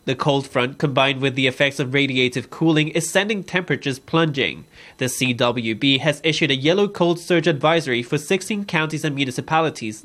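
A man narrating a weather news report in an even, formal tone. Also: medium pitch.

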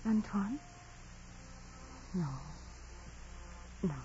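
Gentle, innocent peasant girl. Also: medium pitch, extremely slow. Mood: artless, sincere. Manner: soft, hesitant, artless. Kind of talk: 1940s radio drama acting. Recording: muffled, noisy vintage radio broadcast.

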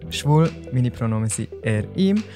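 A man's voice: medium pitch, expressive, a little slow, music in the background. A young man from Solothurn with a Swiss German accent, a podcast guest talking in an easygoing, slightly hesitant way. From Solothurn, Switzerland. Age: young adult. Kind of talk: casual podcast chat.

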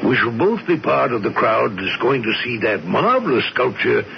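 An actor playing a wry, courtly American writer and humorist in a radio drama, droll and mock-formal with an American accent. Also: male voice, mid-range voice, very animated, moderate pace, gravelly, a little hiss or hum. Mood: teasing, courtly. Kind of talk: 1970s radio drama storytelling. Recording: dull vintage radio recording.

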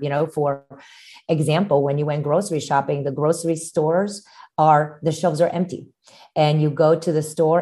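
Woman in her 50s from Romania, pediatrician guest, low and mellow voice, chatty storyteller. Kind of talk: interview storytelling.

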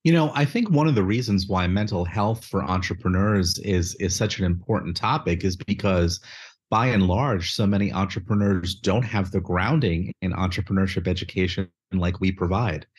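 A man's voice: low-pitched, moderate pace, husky. A man answering interview questions, articulate and methodical, listing points with a few fillers. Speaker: guest, education nonprofit chief executive.

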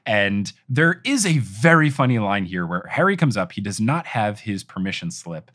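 Deep-voiced man, lively delivery. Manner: dry self-deprecating humor. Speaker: podcast co-host. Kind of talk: casual chat.

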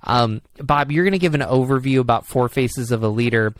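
A man's voice: medium pitch, brisk, rough recording. A man with an Upstate New York accent hosting a podcast interview, rambling a little with fillers. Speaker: podcast host.

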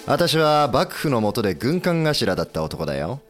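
An actor playing a composed, authoritative navy leader of a rebel republic in a Japanese historical audio drama. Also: male voice, medium pitch, sing-song, normal speed, faint music behind, natural voice. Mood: confident.

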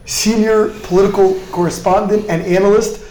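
A man hosting a podcast interview in a chatty, curious, casual way, quick to react to what he hears. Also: sits high, little pitch movement, warm tone, reverberant, low-quality recording. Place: indoor office in a parliament building.

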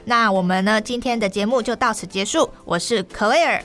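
A woman hosts a radio program on local culture and travel. She speaks with a Taiwanese Mandarin accent at an ordinary middle pitch. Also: normal speed, fairly bright tone, soft background music.

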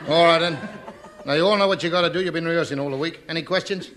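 An actor playing a shady, breezy Cockney wrestling promoter in 1950s British radio comedy, talking fast in matter-of-fact slang. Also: male voice, fairly high pitch, crowd noise, lightly acted. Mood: bossy, brisk. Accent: London Cockney. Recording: noisy old radio recording.

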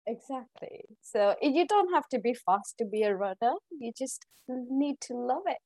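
A woman from Kenya being interviewed, answering in a friendly, halting way and giggling often. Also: fairly high pitch, expressive, darkish tone, dry recording. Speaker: podcast guest. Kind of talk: casual interview chat.

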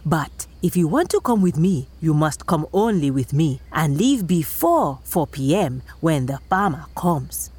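A woman's voice: sits low, very animated, unhurried, few pauses, a little mellow, slightly rough, heavily acted. A woman voicing a sensible, cautious dog in a children's folktale, speaking in an earnest, admonishing way. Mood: hushed warning. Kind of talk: animated children's storytelling.

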